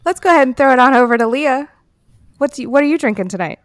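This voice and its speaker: A woman hosting a casual podcast, moderating in a brisk, cheerful way. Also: high voice, expressive, no echo, studio quality. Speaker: host.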